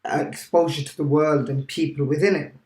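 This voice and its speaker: A man's voice: fairly high pitch, fairly quick. Man with a "right?" habit, echoey room. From United Kingdom. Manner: animated, keeps checking in with "right?". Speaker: teacher, guest. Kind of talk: casual interview chat.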